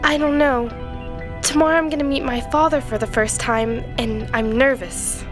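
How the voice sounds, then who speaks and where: A woman playing an excitable young daughter who adores and longs for her father in an audio drama. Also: sits high, normal speed, over music, natural voice. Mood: nervous.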